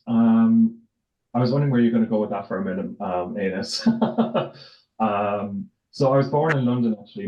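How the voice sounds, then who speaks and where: A middle-aged man with an Irish accent, answering casually as an interview guest. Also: lowish voice. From Ireland, raised in County Tipperary. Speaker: interview guest, tennis tournament director. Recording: roomy echo, dull lo-fi sound.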